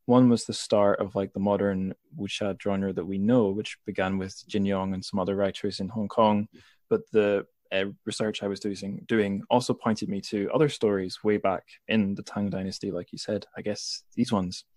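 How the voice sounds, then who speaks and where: A man's voice: lowish voice, little pitch movement, moderate pace, darkish tone, no echo, studio quality. A man hosting a conversational podcast interview, thoughtfully rephrasing his questions as he goes.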